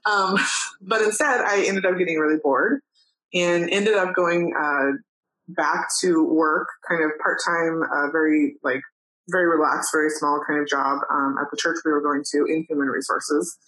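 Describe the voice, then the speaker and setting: A woman in her thirties from New Mexico, talking casually as a podcast guest, chatty with many "um"s and soft laughs. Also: low-pitched, fairly even intonation, moderate pace, rough recording. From New Mexico, USA. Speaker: guest, photographer and educator. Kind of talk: casual interview chat.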